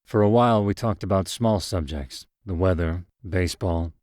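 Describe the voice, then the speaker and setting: A man narrating a short story in first person with calm, measured delivery. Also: low voice, no echo, clean studio sound.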